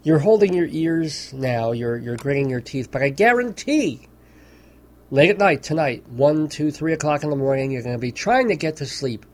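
A man's voice: on the high side, expressive, normal speed. A man hosting a radio show, talking in a wry, mock-serious way with amused asides. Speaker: radio host. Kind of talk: casual radio commentary. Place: radio studio.

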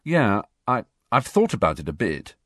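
Man reading as a sulky hesitant teen boy, low and husky. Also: sing-song, moderate pace, fairly bright tone, no echo, studio quality. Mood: grumpy. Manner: grumpy, hesitant. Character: grumpy, reluctant teenage schoolboy hero.

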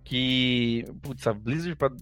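A man with a Brazilian Portuguese accent talks in a relaxed, chatty way, restarting phrases and repeating words as he thinks. His pitch sits in the ordinary middle for a man, with faint music underneath. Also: slow pace. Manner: hesitant, repeats and restarts words, laughs often. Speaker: gaming podcast co-host. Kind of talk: casual chat.

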